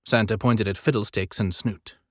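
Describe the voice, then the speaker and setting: A man narrating an audiobook story in a measured, descriptive storytelling style. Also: lowish voice, fairly even intonation, no room sound, studio quality. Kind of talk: scripted dramatic storytelling narration.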